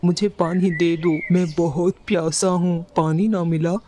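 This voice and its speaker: A man playing a huge, thirsty, sorrowful mango tree in a children's cartoon, speaking in a slow, pleading manner. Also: sits high, little pitch movement, warm tone, a little hiss or hum. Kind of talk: Hindi children's cartoon story acting.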